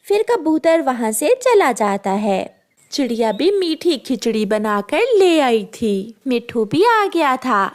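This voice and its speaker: High-pitched woman narrating children's stories. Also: very animated, slow pace. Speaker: storyteller narrator. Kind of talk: scripted children's story narration.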